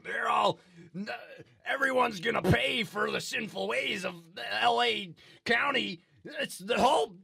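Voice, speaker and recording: Man acting as hysterical male news anchor, very gravelly, forceful and bright, bombastic, comedy newscast parody. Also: extremely high pitch, unhurried, heavily acted. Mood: frenzied, alarmist. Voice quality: raspy, gravelly. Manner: hysterical, bombastic, prone to giggling. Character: manic sensationalist male news anchor, giggling, doom-mongering.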